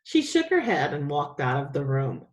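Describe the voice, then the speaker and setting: A woman's voice: deep voice, wildly swooping pitch, normal speed. A woman teacher reading a children's story aloud with expression.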